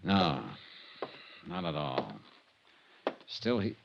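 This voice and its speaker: A man's voice: low-pitched, very animated, extremely slow, vocal fry, dull audio, background noise, full character voice. An American man playing a cool, tough insurance investigator in a 1950s radio drama, terse and matter-of-fact. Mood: grim, terse. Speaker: lead actor and narrator. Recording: vintage radio broadcast with music.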